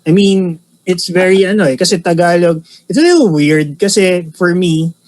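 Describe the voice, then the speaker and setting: A man chatting in a relaxed, joking way, his voice sitting a bit high. He laughs easily between his points. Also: normal speed. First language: Filipino. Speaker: co-host reacting to a show. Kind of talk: casual chat.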